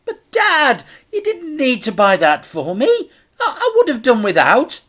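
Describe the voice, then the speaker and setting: Shrill, indignant young boy. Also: wildly swooping pitch, measured pace, sharp tone, full character voice. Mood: surprised, earnest. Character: young boy, loyal, indignant, easily outraged. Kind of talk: children's storybook character voice.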